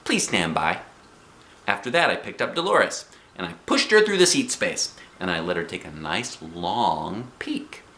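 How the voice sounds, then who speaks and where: A man reading a children's story aloud in an expressive, playful, animated manner. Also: deep voice, normal speed, reverberant. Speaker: storybook reader.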